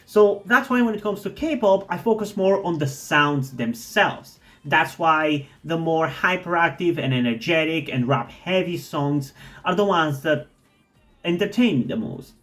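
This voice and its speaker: A man's voice: a little high, expressive, measured pace, a bit bright. Rambling man reacting to music. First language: not English. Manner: thoughtful, rambling, with many "like"s and restarts. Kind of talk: casual music reaction commentary.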